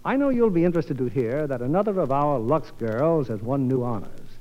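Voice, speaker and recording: A man's voice: medium pitch, lively intonation, fairly quick. A man hosting a 1950s radio show with formal, theatrical, polished scripted narration. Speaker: radio show host and producer. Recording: muffled old radio broadcast, music.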